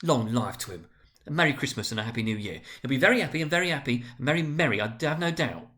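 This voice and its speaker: A man voicing an indignant, sharp-tongued wife and mother in a storybook reading, huffy and sarcastic. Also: medium pitch, animated, fast, pitched above the performer's own voice. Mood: grudging.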